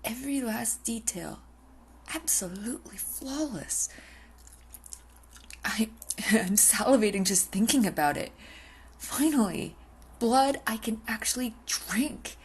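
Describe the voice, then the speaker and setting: A woman's voice: a little high, lively intonation, takes it slowly, long pauses, breathy edge, heavily acted. A woman playing an obsessive, possessive female vampire in an intimate ASMR roleplay, often dropping into whispers, hungry and coolly domineering. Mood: whispered fascination, then breathless craving.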